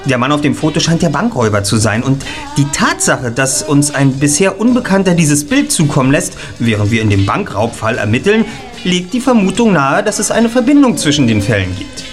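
An actor playing a young, businesslike detective in a German audio drama, questioning in an articulate, formal way. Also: male voice, a little high, sing-song, fairly quick, soft background music.

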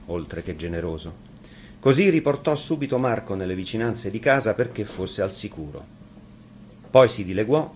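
A man's voice: on the low side, a little mellow, slight background noise. A man reading a fairy tale expressively, as a storyteller.

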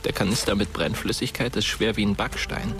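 Sombre crime-drama narrator, male actor, hushed and gravelly, music clearly underneath. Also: fairly low pitch, a little fast, close to an everyday voice. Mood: ominous. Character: sombre, watchful male storyteller of a dark tale. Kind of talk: scripted radio play narration.

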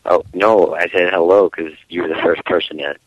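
A man calling in to a casual show over an internet phone line, halting and repeating his words as he goes. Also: deep voice, fairly even intonation, no echo. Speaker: caller to a call-in show. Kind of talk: casual chat. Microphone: phone call through internet voice service.

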